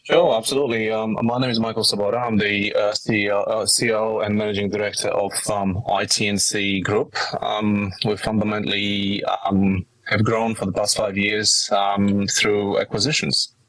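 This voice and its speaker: Low-voiced middle-aged Polish-accented man. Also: level tone, moderate pace, hardly any pauses, fairly bright tone, no echo, low-quality recording. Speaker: podcast guest, company managing director. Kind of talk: conversational interview answers.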